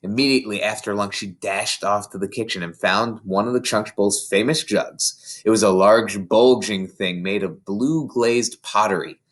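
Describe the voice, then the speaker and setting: A man reading a children's story aloud in an expressive way, like an audiobook narrator. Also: fairly low pitch, moderate pace, few pauses. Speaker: story reader.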